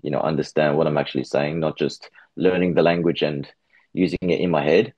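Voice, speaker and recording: Low-voiced man, dull lo-fi audio. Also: flat delivery, a little fast, darkish tone, no room sound. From Australia. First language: Japanese. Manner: hesitant, restarts phrases often. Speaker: guest. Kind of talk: casual interview over a call.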